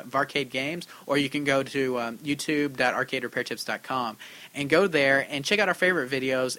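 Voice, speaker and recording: A man's voice: a little high, bright, forceful tone. A man co-hosting a podcast about arcade repair, chatting casually and reading out listener letters in an upbeat way. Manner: upbeat, quick, reads letters aloud. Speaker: podcast co-host.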